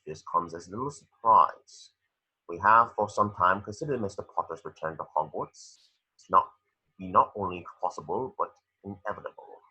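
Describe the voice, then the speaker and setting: A man playing a cold, menacing, formal wizard headmaster in a screenplay table read, with raspy, deliberate speech. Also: low-pitched, sharp tone, exaggerated voice, poor audio.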